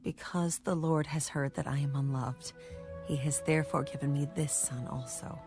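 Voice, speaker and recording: A woman's voice: deep voice, fairly even intonation, moderate pace. An actress playing an unloved, yearning and devout young wife and mother in a dramatized audio Bible. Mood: hopeful yearning. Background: background music.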